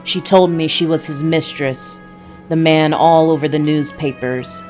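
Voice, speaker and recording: A world-weary noir detective, read by a woman, tells his story in a low voice. The delivery is brooding and scripted, as in a visual novel game. Faint music sits underneath. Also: fairly even intonation, a little slow, darkish tone. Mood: wry, wary. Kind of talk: scripted first-person noir narration.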